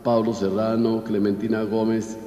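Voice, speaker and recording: Low-voiced Colombian priest in an echoey church. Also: male voice, flat delivery, warm tone. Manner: measured, list-like recitation of names. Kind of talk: reading out Mass intentions from a list. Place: large church, at the altar. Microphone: altar microphone. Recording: echoey church with long reverb.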